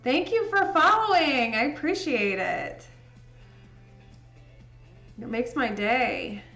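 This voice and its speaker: A young woman from Indiana with a Midwestern accent, chatting casually with viewers during a livestream while she edits photos. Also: high voice. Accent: Midwestern American. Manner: slow, relaxed, chatty with frequent laughs. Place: indoor home room. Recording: echoey room with faint music.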